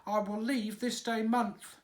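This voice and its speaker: A man voicing an indignant young dairymaid who wails and complains in a shrill voice. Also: flat delivery, takes it slowly. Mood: indignant, wailing.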